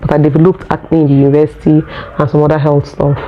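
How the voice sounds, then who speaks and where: A Nigerian woman who speaks English as a second language, telling her own story in a vlog in an earnest, unhurried way. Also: low-pitched, level tone, dull audio. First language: Nigerian local language. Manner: earnest, unhurried, searching for words. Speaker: vlogger.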